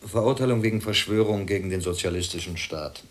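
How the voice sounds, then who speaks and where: A man's voice: lowish voice, brisk, natural voice. An actor playing a scornful, coarse male East German security officer in a radio play. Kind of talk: radio drama dialogue.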